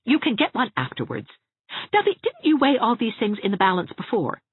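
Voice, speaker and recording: A woman voicing a brisk, exasperated young woman in an audiobook, sharp, insistent and scolding. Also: fairly high pitch, wildly swooping pitch, husky, pitched above the performer's own voice, exaggerated voice. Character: brisk, sarcastic, exasperated young woman, a bossy loyal friend. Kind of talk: audiobook character voice. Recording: thin phone-like narrow-band sound.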